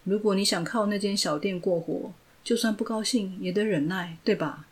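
A woman voicing a cruel, mocking male villain who taunts slowly. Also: medium pitch, darkish tone, natural voice. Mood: taunting. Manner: slow, taunting, gloating delivery.

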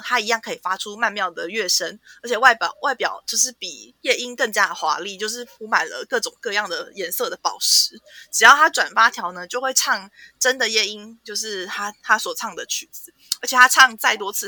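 A woman with a Taiwanese Mandarin accent hosting a podcast, casually retelling a story with animated, playful asides. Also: mid-range voice, no room sound. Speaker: podcast host. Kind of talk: casual storytelling and book chat.

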